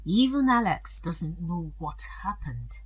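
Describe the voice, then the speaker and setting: Woman acting a husky, animated society aunt. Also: on the low side, slow pace, heavily acted. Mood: confiding, musing. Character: wealthy older aunt, gracious, chatty, fond of her nephew. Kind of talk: audio drama dialogue.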